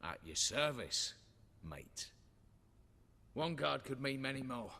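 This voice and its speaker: A man playing a brisk, impatient, cocky heist ringleader in a video game cutscene, giving curt commands. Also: medium pitch, big pitch swings, creaky, sharp tone, a little hiss or hum, heavily acted. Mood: brusque.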